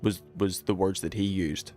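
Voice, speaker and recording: A man's voice: sits low, steady tone, moderate pace, a little mellow, faint music behind. A man live streaming a video game with casual, slangy commentary full of swearing.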